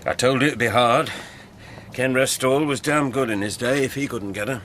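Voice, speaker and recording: An actor playing a genial but pointed police chief in a radio drama. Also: male voice, mid-range voice, moderate pace, a bit bright, slight background noise, lightly acted. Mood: dryly pointed.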